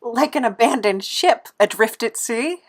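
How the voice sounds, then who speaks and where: A woman's voice: on the high side, animated, measured pace. A woman playing an earnest, sentimental idealist in an audio drama, plaintive and questioning. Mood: distressed.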